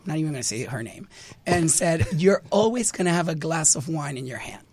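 Guest, male, raised pitch, voice recovering after surgery, lively storyteller. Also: brisk, dry recording. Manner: animated storyteller, quotes others in voices. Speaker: reality show guest. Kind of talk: casual interview chat.

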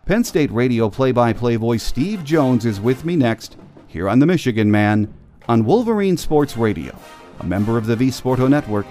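A man's voice: mid-range voice, big pitch swings, normal speed, mellow, faint music behind. An American man hosting a sports podcast, delivering a scripted monologue. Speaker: podcast host.

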